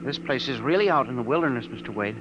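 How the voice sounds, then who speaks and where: A man's voice: medium pitch, lively intonation, fast talker, close to an everyday voice. A man playing a nervous, polite young man on the run, in 1950s radio drama style, anxious and deferential. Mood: uneasy. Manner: anxious, polite, says yes sir often. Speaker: radio actor playing Henry. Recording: old radio broadcast with hiss.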